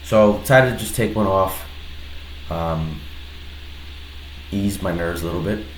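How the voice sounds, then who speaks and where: Deep-voiced man with a stuffy head cold. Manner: relaxed, hesitant, many pauses and restarts. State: head cold, slightly nasal. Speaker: trader reviewing his own trade. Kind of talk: casual screen-recorded trade breakdown. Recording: roomy echo with faint background noise.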